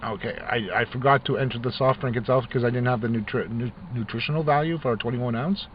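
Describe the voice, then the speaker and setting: A man's voice: medium pitch, quick pace, a little hiss or hum. A middle-aged man vlogging casually, rambling with frequent restarts and fillers. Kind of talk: casual talk to camera. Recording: dull lo-fi recording with faint ambience.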